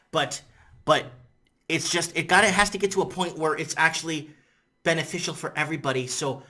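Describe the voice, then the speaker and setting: Bright-voiced rambling man vlogging. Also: mid-range voice. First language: Portuguese. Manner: rambling, animated, repeats himself, "you know what I'm saying". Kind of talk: casual opinion vlog to camera. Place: indoors in front of a green-screen city backdrop.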